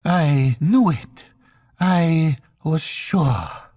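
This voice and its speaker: A man's voice: on the high side, expressive, extremely slow, a little mellow, dry recording, clean studio sound, pitched above the performer's own voice, heavily acted. A man reading an audiobook voices a grieving young woman, the devoted fiancée of a dead man, in a pleading, trembling way. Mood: anguished. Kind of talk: audiobook reading of a literary novel.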